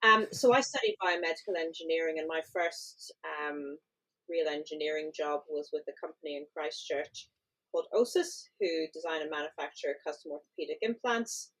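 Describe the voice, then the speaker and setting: An Irish woman in her thirties speaks with a fairly low voice. She is a chief executive with a brisk, good-humoured way of talking in a podcast interview. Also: a bit bright, low-quality recording. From Ireland.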